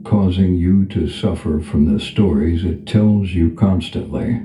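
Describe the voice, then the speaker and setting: A man leading a guided meditation as a calm spiritual teacher, speaking in a gentle, unhurried, instructional manner. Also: extremely low pitch. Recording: echoey reverb over background music. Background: music.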